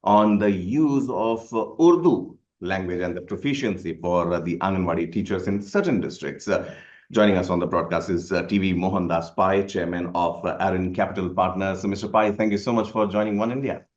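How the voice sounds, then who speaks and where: A man's voice: deep voice, fairly quick. A man hosting a news interview, asking questions with many hesitant fillers. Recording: echoey, dull lo-fi sound.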